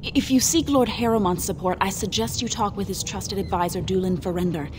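An actress playing a grave young dwarf noblewoman in a video game, speaking in a measured, solemn way over game music. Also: medium pitch, natural voice. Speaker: game character.

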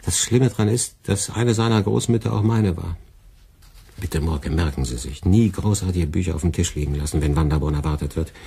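Casting: middle-aged radio boss, low mellow voice, husky, schoolmasterly irony, radio drama. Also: male voice, exaggerated voice. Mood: dry, reproving. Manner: ironic, measured, schoolmasterly. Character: dry, sardonic older radio department head. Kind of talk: German radio play acting.

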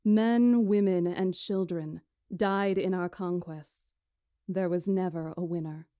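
A poised witch of a fantasy visual novel, played by a woman. She speaks in a mellow, relaxed tone, formally and deliberately, with quiet command over her listeners. The sound is dry and close. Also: fairly low pitch, no echo. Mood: solemn storytelling. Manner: formal, deliberate, imperious storytelling. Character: poised, commanding witch storyteller lecturing a council.

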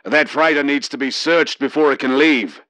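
A man voicing a brisk, formal male soldier commander in an audiobook. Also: mid-range voice, bright, forceful tone, no echo, close to an everyday voice.

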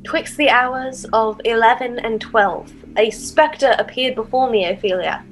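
Brooding young noble played by a woman, highish voice, theatrical archaic phrasing, earnest, audio drama. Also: unhurried, lightly acted, rough recording. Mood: solemn, urgent. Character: brooding, earnest young Danish noble sworn to avenge a father.

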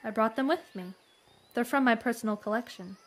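A woman's voice: on the high side, a little fast, close to an everyday voice. An actress playing a refined, bookish, earnestly enthusiastic young lady in a western audio drama, with formal, florid diction. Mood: composed, dreamy.

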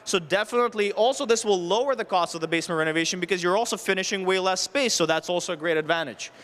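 A man in his thirties speaks to an audience as a contractor giving practical advice. His voice sits high for a man and sounds clean and resonant. He explains step by step, posing rhetorical questions and running through options. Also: quick pace, a bit bright. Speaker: renovation contractor giving a presentation. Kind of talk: public presentation with practical tips. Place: stage at an indoor home show. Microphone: handheld microphone held near the chin.

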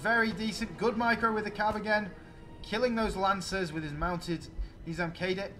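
Male game commentator, high voice, excited play-by-play, exclamatory, addressing viewers, music behind. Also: moderate pace. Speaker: video game battle commentator.

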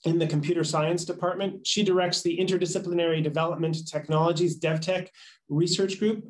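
A man in his thirties moderating a formal panel over a video call, speaking in a measured, polite and halting way. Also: on the high side. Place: small office, indoors. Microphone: video call microphone.

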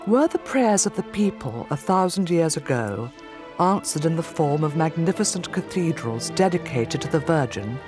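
Expressive woman narrating a documentary. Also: sits low, moderate pace, few pauses. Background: music.

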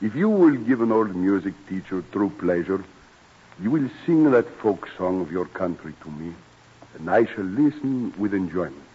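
A husky-voiced old European music master, courtly and admiring. He speaks in formal, measured phrases, in the style of an old radio play, on a muffled vintage broadcast. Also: low voice, animated. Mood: admiring, solemn. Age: older. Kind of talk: old-time radio drama acting.

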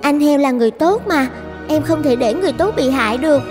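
A woman's voice: high voice, expressive, brisk. A woman voicing a kind, brave young girl in a cartoon fairy tale, earnest and pleading, with music behind. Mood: urgent concern.

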